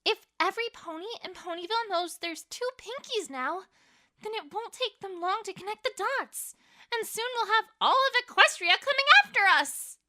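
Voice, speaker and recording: A woman plays an anxious, bookish young mare in an audio storybook. The voice is extremely high and piercingly bright. She stammers and frets dramatically. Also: moderate pace. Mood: panicked, livid. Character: anxious, bookish young female pony, fretting and livid. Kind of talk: dramatised fan fiction reading.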